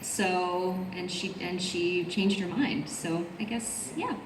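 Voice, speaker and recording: A woman's voice: lowish voice, takes it slowly, far from the mic, background noise. A woman voice actor joking casually as a panelist at a fan convention. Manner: playful, joking. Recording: echoey hall, loud crowd behind.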